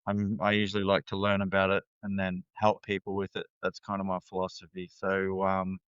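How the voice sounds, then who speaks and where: Australian man, smooth voice, dry sound. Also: low-pitched, monotone, brisk, fairly bright tone, no room sound, muffled recording. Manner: candid, rambling, many fillers and restarts. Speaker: guest. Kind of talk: casual interview talk.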